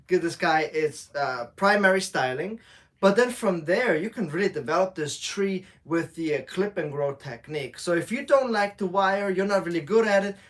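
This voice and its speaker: A man from Switzerland, co-owner of a bonsai shop, explaining a tree during a live demo in a relaxed way, with fillers and restarts. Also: a little high.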